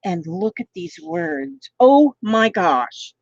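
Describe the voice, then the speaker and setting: A woman hosting a live crafting stream, talking softly with her viewers and gasping over pretty papers. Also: a little high, sing-song, takes it slowly, vocal fry, dry recording, highs cut off. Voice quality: gentle voice. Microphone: phone.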